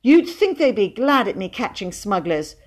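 A woman's voice: mid-range voice, very animated, normal speed, a little mellow, pitched above the performer's own voice. A woman voicing an earnest, proud and stubborn little boy in an audiobook, with dogged, childish, run-on speech. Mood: aggrieved. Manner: dogged, breathless run-on boasting, childish grammar. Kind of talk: audiobook character voice.

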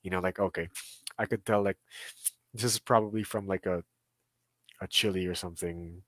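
A man's voice: deep voice, steady tone, normal speed, fairly bright tone, no room sound. Rambling young man, Southern Californian accent. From Philippines. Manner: rambling with many fillers and restarts. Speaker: guest. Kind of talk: casual chat.

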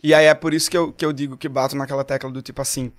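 A young man from Pernambuco with a Pernambuco Portuguese accent, a singer chatting as a guest on a podcast in an animated, storytelling way. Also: mid-range voice, rapid-fire. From Pernambuco, Brazil. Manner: animated, storytelling, quotes people. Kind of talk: casual podcast chat.

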